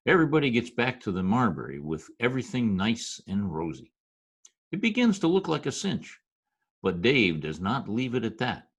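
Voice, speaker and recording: A man playing a wisecracking Broadway hustler who narrates his tale in old-time radio drama style, full of street slang and deadpan turns. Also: medium pitch, normal speed, slightly rough. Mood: wry. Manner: folksy present-tense slang, deadpan storytelling. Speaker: narrator and supporting character. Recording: music behind, home video-call radio play.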